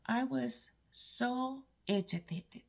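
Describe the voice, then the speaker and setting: Slow, gravelly woman with Eastern European-sounding accent. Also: fairly high pitch. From Canada. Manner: rambling, halting, frequent restarts. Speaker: vlogger. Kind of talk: casual storytelling monologue.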